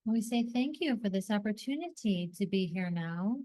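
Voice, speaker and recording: A woman hosting a guided meditation, speaking in deliberate short phrases with pauses between them, as scripted soothing narration. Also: mid-range voice, lively intonation, warm tone.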